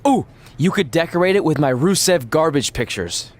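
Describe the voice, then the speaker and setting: A man's voice: fairly high pitch, animated, moderate pace. A man voicing an upbeat, oddball, loyal friend in a video game, enthusiastic and guileless as he talks. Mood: proud, cheerful. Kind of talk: video game cutscene acting, conversational.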